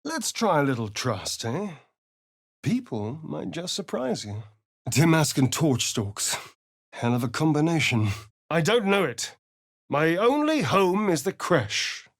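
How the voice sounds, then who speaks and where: A man's voice: a little high, sing-song, takes it slowly, dry recording, clean studio sound, full character voice. A male actor playing a vain, sly, sardonic vampire adventurer in a video game, with a theatrical, haughty delivery. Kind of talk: video game character voice lines.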